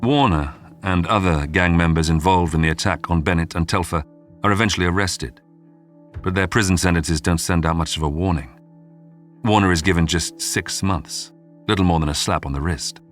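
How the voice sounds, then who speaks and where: A man delivers scripted true-crime narration. His reading is dramatic and measured in the manner of a history documentary, with music playing underneath. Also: deep voice, a bit bright. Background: background music.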